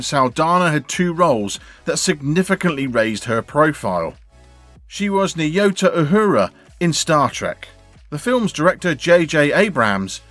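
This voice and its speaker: A man narrating a scripted video in an even, read-out delivery. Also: fairly high pitch. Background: faint background music.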